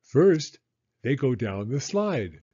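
An older man reading a children's story aloud as a storyteller, with expressive, deliberate phrasing for young listeners. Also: medium pitch, breathy edge, lo-fi sound. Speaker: storyteller, elementary school teacher.

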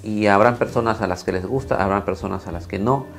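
A man in his thirties, slightly hoarse, talking casually on a lapel mic in a small home studio, with many fillers and restarts. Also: on the low side, a little fast, faint music behind. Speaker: video creator reviewing camera footage. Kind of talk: casual talk to camera, then screen walkthrough.

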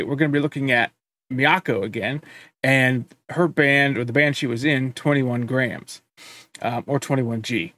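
Casting: man, 30s, easygoing reaction-channel host, mid-pitched, dry close sound. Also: no echo. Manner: relaxed, chatty, many um fillers. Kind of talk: casual music reaction commentary. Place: home studio room.

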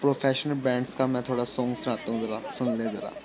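A man's voice: mid-range voice, steady tone, fast talker, crowd noise. A man speaking directly to his viewers in a chatty vlog voice. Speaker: vlogger. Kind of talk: talking to viewers.

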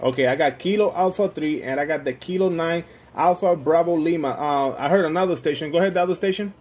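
A man with a fairly high voice works ham radio contacts. He is friendly and repetitive as he spells out call signs, with some noise behind him. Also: quick pace. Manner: friendly, repeats himself, often says "my friend". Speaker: amateur radio operator.

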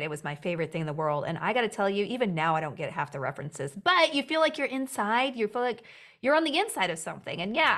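A woman in her forties with a youthful-sounding voice talks into a headset mic from a home room lined with bookshelves. She is chatty and animated and laughs between quick asides. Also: medium pitch. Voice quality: young-sounding voice. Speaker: actor and writer guest. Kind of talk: casual interview chat.

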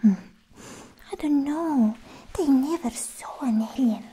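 A young woman playing a sweetly possessive, obsessed alien girlfriend in a whispered, coaxing ASMR roleplay, speaking close to the mic in halting phrases. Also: sits high, warm tone, slight background noise. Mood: pleading, coy. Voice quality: breathy, mostly whispered. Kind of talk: soft-spoken ASMR roleplay. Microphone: close ASMR microphone.

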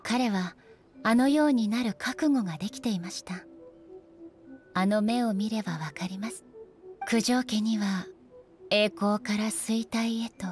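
An actress playing a stern, dignified female ruler in an anime game, speaking formally and with measured authority. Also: medium pitch, darkish tone, natural voice. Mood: calm, reflective. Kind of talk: Japanese anime game voice acting.

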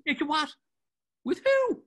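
Actor for a lively, bright-toned Irish pub gossip. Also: male voice, very high voice, a little slow, full character voice. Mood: jaunty. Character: gossiping Dublin drinker Joe, jaunty. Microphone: video call webcam.

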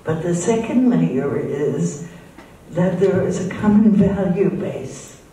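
An older woman born in Israel, speaking English with an American-sounding accent, explaining her points in a measured, emphatic way as a guest in a live on-stage conversation. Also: on the low side, warm tone. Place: stage in a large dark theatre. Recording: echoey hall, voice heard from far off.

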